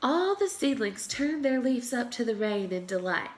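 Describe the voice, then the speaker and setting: Female pre-K teacher, storybook read-aloud, highish voice, very animated intonation for young listeners. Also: moderate pace. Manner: expressive, addressing young children.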